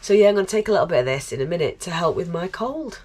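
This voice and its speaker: A woman's voice: on the low side, animated, racing, a little mellow. A woman vlogging about her life on a narrowboat, chatty and self-mocking, laughing often and sounding bunged up with a cold. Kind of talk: casual vlog chat. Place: aboard and around a narrowboat on a canal.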